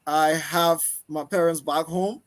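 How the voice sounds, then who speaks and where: High-pitched Liberian man, deliberate speaker. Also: steady tone. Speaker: guest, political talk show host. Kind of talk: interview answers, self-introduction.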